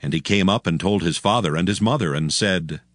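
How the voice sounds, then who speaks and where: A man narrating dramatized scripture as a solemn, measured storyteller. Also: deep voice, dry recording, studio quality.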